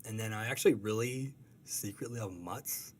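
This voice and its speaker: A man's voice: lowish voice, normal speed, a little hiss or hum. A man chatting casually as an interview guest, informal and enthusiastic, feeling his way through explanations. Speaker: cartoonist guest. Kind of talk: casual chat.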